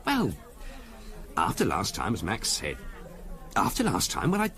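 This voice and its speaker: A man's voice: on the low side, wildly swooping pitch, a little slow, fairly bright tone, heavily acted. A man playing an irritable, evasive and protective English husband in a 1970s radio drama, curt and dismissive. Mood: defensive.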